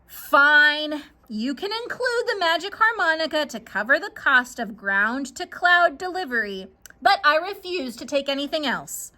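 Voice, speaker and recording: A woman voicing a small, plucky, quick-witted girl in a children's story, expressive and dramatic. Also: high voice, full character voice. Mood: smug. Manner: exclaiming, expressive, eager.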